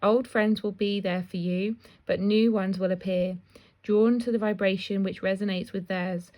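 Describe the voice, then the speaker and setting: A woman with a slightly croaky voice gives a casual spiritual card reading, chatty and self-deprecating. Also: mid-range voice, fairly even intonation, normal speed. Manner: chatty, warm asides, often laughs at herself. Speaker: intuitive card reader.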